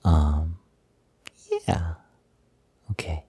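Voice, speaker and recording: A man playing an excited, doting, gentle young roommate in an intimate roleplay audio, soft-spoken and breathy, hesitant but gushing and reassuring. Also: extremely low pitch, very slow, no echo, exaggerated voice. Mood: flustered.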